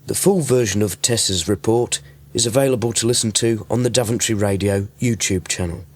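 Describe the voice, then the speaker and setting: A man's voice: fairly low pitch, a little fast, a little mellow. A man presenting a radio programme, reading scripted links between items.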